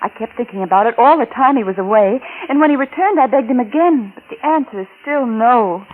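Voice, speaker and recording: An actress playing a scheming, adulterous wife who is coolly manipulative and falsely tender, in a 1940s radio drama style. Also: female voice, on the high side, animated, brisk, natural voice. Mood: pleading, manipulative. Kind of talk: 1940s radio drama acting with confessional narration. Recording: old lo-fi radio recording with music.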